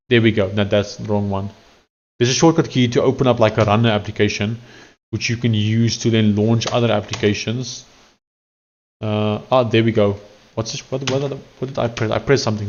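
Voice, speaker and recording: A man with a fairly low voice and a clear Afrikaans accent, chatting to his live-stream audience as he works. He wanders from point to point and corrects himself often. The audio is a bit muffled and lo-fi, like a home stream setup. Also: a little mellow, slight background noise. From South Africa. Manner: rambling, self-correcting, talks while working on screen. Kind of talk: casual live-stream coding commentary.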